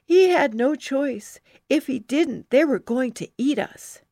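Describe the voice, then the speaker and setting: A woman voicing a bold, defiant young girl telling wild stories, excited and emphatic. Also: high-pitched, very slow, no echo, clean studio sound, pitched above the performer's own voice, full character voice. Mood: spirited.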